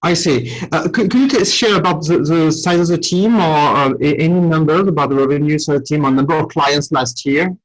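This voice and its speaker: A man hosting a business interview podcast, speaking haltingly with frequent restarts and self-corrections. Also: on the high side, rough recording. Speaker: podcast host.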